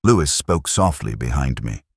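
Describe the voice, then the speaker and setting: A man narrating a scripted first-person detective story in a measured, literary, world-weary manner. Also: very deep voice, very animated, slightly rough, dry recording, studio quality.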